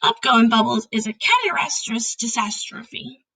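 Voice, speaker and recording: A gravelly, husky storybook giant, acted out by a woman, excitable and easily indignant. He talks in emphatic, garbled grammar peppered with invented words. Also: a little high, unhurried, full character voice, poor audio. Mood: exasperated scolding.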